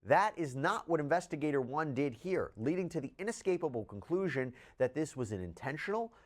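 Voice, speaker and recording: A man's voice: fairly high pitch, lively intonation, brisk. A man presenting scripted legal news in an articulate, explanatory way, with emphatic delivery. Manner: articulate, emphatic when quoting documents.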